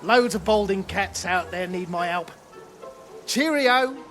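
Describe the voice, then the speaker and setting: An actor playing a chatty, self-mocking fortune-teller who has given up, speaking. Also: male voice, sits high, lively intonation, a bit bright, full character voice. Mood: resigned. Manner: chatty, self-deprecating, slow. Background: game score music.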